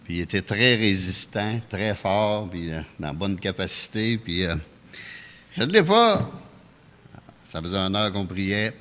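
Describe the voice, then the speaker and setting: Calm Québécois man preaching in echoey church. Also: lowish voice, a little slow. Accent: Québécois French. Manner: calm, anecdotal, chuckles often. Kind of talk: testimony sermon. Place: church sanctuary.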